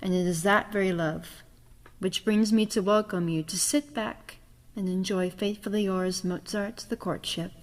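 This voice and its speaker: A woman's voice: mid-range voice, steady tone, moderate pace. A woman narrating a scripted introduction to an audio drama in a formal, dramatic storyteller's manner. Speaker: author, director and narrator. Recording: long reverberant hall sound.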